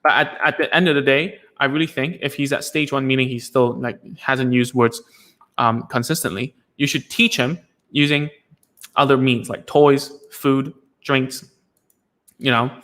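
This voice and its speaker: A man's voice: medium pitch, little pitch movement, normal speed, fairly bright tone. A man hosting a casual live stream Q&A as a speech therapist, talking loosely with many fillers and restarts.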